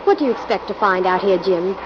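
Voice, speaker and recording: A woman's voice: mid-range voice, quick pace, close to an everyday voice. A woman playing a curious, level-headed detective's assistant in an old-time radio drama. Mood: intrigued. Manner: curious, conversational. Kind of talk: 1940s radio acting. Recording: muffled, noisy old radio recording.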